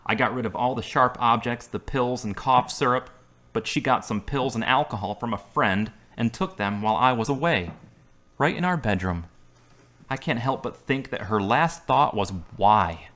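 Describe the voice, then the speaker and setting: A man's voice: mid-range voice, moderate pace, fairly bright tone, close to an everyday voice. A wry carpenter out of hospital, played by a man. He speaks in a plain everyday voice with a dry, sardonic edge. The scene comes from an amateur audio drama. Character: wry male carpenter recovering from surgery.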